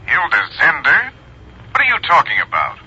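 An actor playing a brusque, impatient intelligence chief heard through a telephone filter in a 1950s radio drama. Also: male voice, a little high, huge pitch swings, moderate pace, pushed and bright, slightly rough, highs cut off, exaggerated voice.

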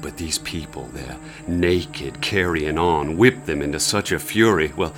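An actor playing a grim, commanding police inspector who recounts a raid in a radio drama. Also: male voice, very deep voice, a little slow, a bit bright. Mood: grave, haunted. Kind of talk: radio drama acting with narration. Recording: music under the voice.

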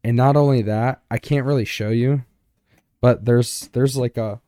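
A young man co-hosting a podcast in casual chat, joking and informal, with a boomy voice close to the microphone. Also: steady tone, unhurried, mellow, dry recording. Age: young adult. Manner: joking, informal, self-interrupting. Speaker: podcast co-host.

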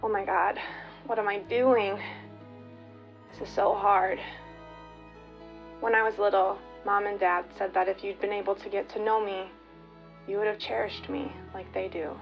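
Casting: actress for anxious young daughter, radio drama monologue, slow halting delivery, slightly muffled with faint music. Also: female voice, mid-range voice, level tone, close to an everyday voice. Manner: hesitant, halting, self-correcting. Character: nervous, yearning young adopted daughter.

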